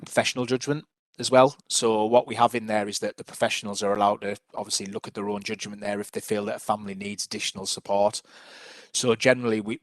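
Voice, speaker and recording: A man's voice: fairly low pitch, no echo. A man presenting public health work to a council panel over a video call, hesitant, with many restarts.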